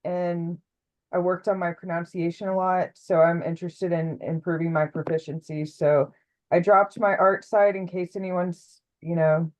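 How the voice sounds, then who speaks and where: A woman's voice: fairly low pitch, fairly even intonation, normal speed, low-quality recording. A woman student introducing herself to an online class over a video call, talking casually and trailing off now and then.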